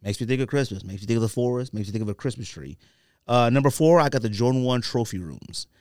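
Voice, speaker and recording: A man's voice: medium pitch, animated, quick pace, darkish tone, no echo, clean studio sound. A man hosting a casual podcast, talking in an informal, slangy, opinionated way. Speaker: podcast host. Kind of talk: casual sneaker podcast chat.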